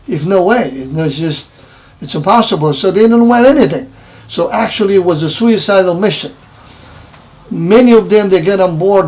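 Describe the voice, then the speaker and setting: A man in his seventies from Romania with a Romanian accent, recounting his wartime childhood in an interview in halting, repetitive English. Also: sits high, darkish tone, low-quality recording. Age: 70s. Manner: halting, repeats phrases, broken English grammar. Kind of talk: personal wartime recollection interview.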